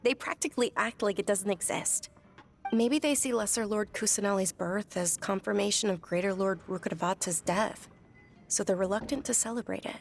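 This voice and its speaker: A woman playing a sincere, devout young noblewoman in a video game, speaking articulately and softly. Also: mid-range voice, moderate pace, faint music behind, natural voice. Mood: earnest explaining. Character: earnest, devout young noblewoman recounting her childhood. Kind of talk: game dialogue and reflective narration.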